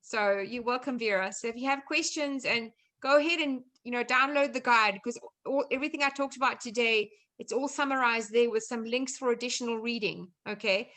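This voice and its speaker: A woman's voice: on the high side, a little fast, few pauses, sharp tone. A woman with a South African accent chatting informally and reassuringly as a guest nutrition coach on a video call. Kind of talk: casual online presentation and chat.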